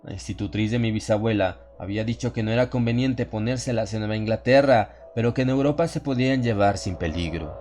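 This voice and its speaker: A man reading a first-person horror story as a scripted audiobook, with measured, solemn and dramatic delivery. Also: fairly low pitch, darkish tone, soft background music. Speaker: audiobook narrator.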